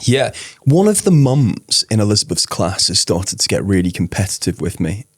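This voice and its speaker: Low-voiced man, halting casual chat. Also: sing-song, breathy edge, no room sound. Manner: self-deprecating, halting, many restarts. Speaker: podcast co-host.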